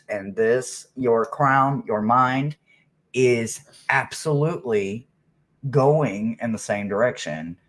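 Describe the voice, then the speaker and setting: A man delivers a tarot reading at a slow, measured pace in a mid-range voice. He speaks with emphasis, leaving dramatic pauses and often tagging his points with a check for agreement. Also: mid-range voice, a bit bright. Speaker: tarot reader. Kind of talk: spoken tarot reading to viewers.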